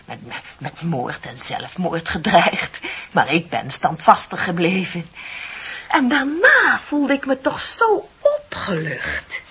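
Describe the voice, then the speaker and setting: An actress in a radio play voicing a middle-aged single woman, gushing, dramatic and romantically deluded, confiding in breathy swells. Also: lowish voice, big pitch swings, slow pace, a bit bright, full character voice. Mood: earnest, overwrought. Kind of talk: Dutch radio play acting. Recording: dull, lo-fi radio play recording.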